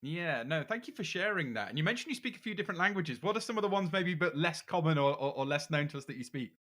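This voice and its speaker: A man's voice: fairly high pitch, lively intonation, fast talker, fairly bright tone. Friendly male podcast host. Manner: friendly, encouraging. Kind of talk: interview questions.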